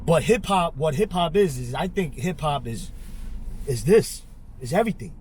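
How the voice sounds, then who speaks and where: A man born in Korea and raised in Queens, a podcast host who talks in halting, repetitive bursts full of fillers. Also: on the high side, animated, a little mellow, a little hiss or hum. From Korea, raised in Flushing, Queens. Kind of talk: casual podcast interview.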